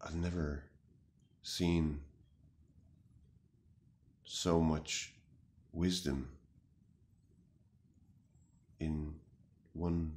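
A man in his thirties playing an anxious, earnest, melodramatic self-styled warrior in a halting, mock-serious monologue to camera. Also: very deep voice, level tone, darkish tone, exaggerated voice. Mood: grave, bewildered. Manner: halting, grave, deliberate delivery with long pauses. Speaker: comic video responder. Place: small room indoors.

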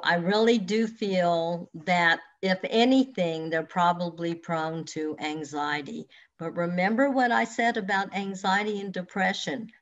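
A woman in her sixties, a counselor, speaking earnestly and advising listeners directly over a video call from her home. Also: lowish voice. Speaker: counselor and hypnotherapist. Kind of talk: online expo talk answering questions. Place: home room with large windows. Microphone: webcam on video call. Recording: dull lo-fi video call audio.

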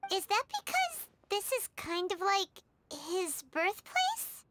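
A woman's voice: very high voice, very animated, slow pace, fairly bright tone, a little hiss or hum, exaggerated voice. A woman voicing a small, curious fairy girl companion in a video game, chirpy and inquisitive. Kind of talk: game voice acting.

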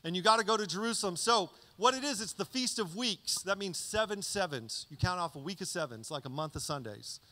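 A man's voice: high-pitched, fairly quick, hardly any pauses. A man in his thirties preaching a conversational sermon into a handheld mic on a church stage, often checking in with "okay?". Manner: conversational, animated teaching with frequent "okay?" check-ins. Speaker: pastor. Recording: reverberant church hall.

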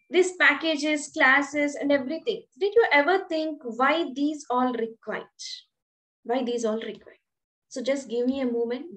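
A woman teaching programming in an online class, explaining step by step and asking the students questions. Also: high voice. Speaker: programming instructor. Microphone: webcam on a video call.

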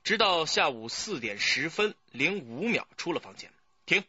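A man's voice: fairly high pitch, big pitch swings, normal speed, sharp tone, lo-fi sound, slight background noise, full character voice. An actor playing a keen, emphatic, self-assured young male police detective in a radio crime drama. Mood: eager, certain.

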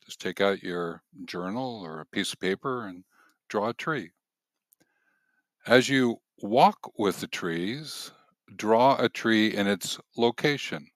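A man narrating nature walking activities as a host, gently guiding in measured, deliberate phrases with pauses. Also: fairly low pitch, animated, dry recording, studio quality.

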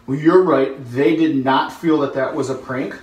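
A man's voice: medium pitch, steady tone, normal speed, a little mellow, a little hiss or hum. A male police officer speaking firmly and procedurally, caught on a distant phone. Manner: firm, procedural. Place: small apartment room. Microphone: phone camera some way off. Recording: echoey room, distant phone mic.

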